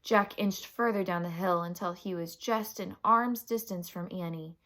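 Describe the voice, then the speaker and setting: A woman reading a children's chapter book aloud, with dramatic, animated storytelling and deliberate emphasis. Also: on the low side.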